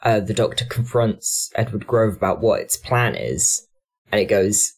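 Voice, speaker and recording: Husky man discussing and analysing. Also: fairly low pitch, animated, a little slow. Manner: analytical, reflective phrasing. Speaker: podcast panellist. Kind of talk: discussion and review podcast talk.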